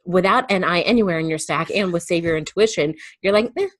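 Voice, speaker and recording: A woman speaking as an interview guest in a reflective, casual discussion, thinking aloud in a halting way. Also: fairly low pitch, no room sound.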